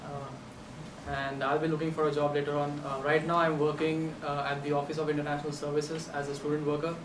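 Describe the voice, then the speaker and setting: A man's voice: fairly high pitch, little pitch movement, fairly quick. A man from India, a graduate student, introducing himself on a panel, easygoing with uhs. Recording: echoey classroom, distant mic, noisy.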